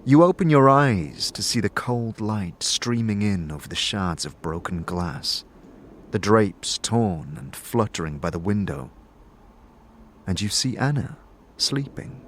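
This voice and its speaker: Slow, dramatic male narrator. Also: low voice, expressive, slight background noise. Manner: hushed, suspenseful, deliberate. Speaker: narrator, game master. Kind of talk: dramatic second-person scripted narration.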